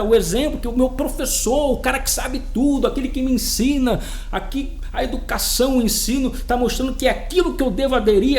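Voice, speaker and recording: A man with a Brazilian accent giving an indignant, emphatic, repetitive opinion rant to his viewers as a political vlogger. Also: very high voice.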